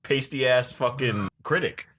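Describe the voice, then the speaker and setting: A man's voice: mid-range voice, a little slow, noisy background. A man co-hosting casual banter in a blunt, brash way with plenty of swearing. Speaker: show co-host.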